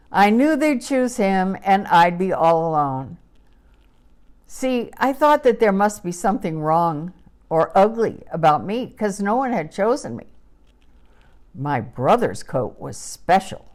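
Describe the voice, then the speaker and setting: Woman as a slow, expressive little schnauzer girl. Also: on the low side. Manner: slow, expressive, simple childlike phrasing. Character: gentle wistful little female miniature schnauzer puppy telling her story. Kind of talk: children's audio story narration.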